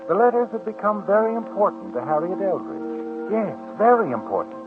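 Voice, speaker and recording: An actor playing a knowing, ominous mystery storyteller, narrating 1940s radio drama in a deliberate, insinuating manner. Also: male voice, high voice, sing-song, music in the background. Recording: tinny old radio recording.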